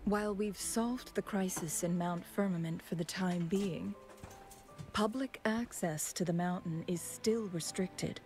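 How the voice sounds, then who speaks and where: An actress playing a poised, courteous young noblewoman in an anime game, speaking in a measured, formal, gentle way. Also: fairly low pitch, natural voice. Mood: courteous, composed. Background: game music.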